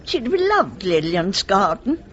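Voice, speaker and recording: A genteel English lady in her eighties, her voice swinging very widely in pitch. She is gracious and refined, with upper-class vowels, heard on a slightly dull radio play recording. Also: very animated, unhurried, a bit bright. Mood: gracious, wistful. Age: 80s. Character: genteel, kindly elderly widowed lady employer. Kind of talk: British radio drama acting. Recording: muffled vintage radio recording.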